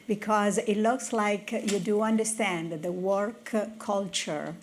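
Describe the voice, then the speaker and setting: A woman's voice: medium pitch, steady tone, slow, a little hiss or hum. A woman from Italy with an Italian accent, a tech executive giving an earnest conference talk with personal stories. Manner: earnest, storytelling, with restarts. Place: conference hall with audience.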